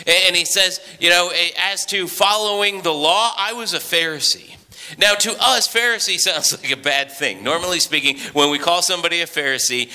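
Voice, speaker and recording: Bright-voiced male pastor in a hall. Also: a little high. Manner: conversational, animated storytelling with many restarts. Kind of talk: sermon. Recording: reverberant hall.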